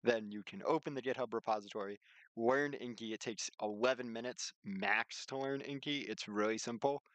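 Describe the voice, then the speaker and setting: A man's voice: medium pitch, moderate pace, fairly bright tone, no room sound, rough recording. A man livestreaming in a casual, rambling, slangy way, chatting and explaining things informally on a close microphone. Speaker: live streamer and game developer.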